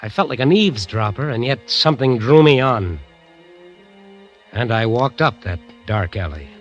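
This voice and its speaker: A man acting a haunted American bandleader telling his nightmare story in an old radio thriller. He speaks at a middle pitch with intense, suspenseful drama, over clear music on a vintage recording. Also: lively intonation, moderate pace, dull audio, close to an everyday voice. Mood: tense, intrigued. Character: haunted bandleader narrating his own eerie nightmare tale. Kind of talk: 1940s-50s American radio drama narration.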